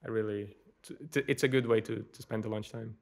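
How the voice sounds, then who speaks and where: A man's voice: lowish voice, steady tone, fast, creaky. Casual Portuguese-accented man, podcast guest. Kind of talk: casual chat.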